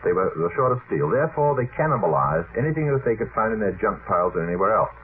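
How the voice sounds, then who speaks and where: A man speaking as a guest writer in a late-night radio discussion, recounting testimony in an emphatic, deliberate storyteller's way on an old broadcast. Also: fairly low pitch, big pitch swings, narrow, tinny audio, slight background noise. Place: radio studio.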